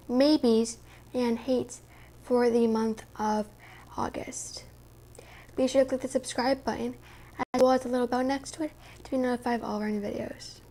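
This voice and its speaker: High-voiced young woman gushing over skincare. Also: a little mellow. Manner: enthusiastic, gushing about products. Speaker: beauty vlogger, daughter. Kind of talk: casual product review. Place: indoor home room with string lights.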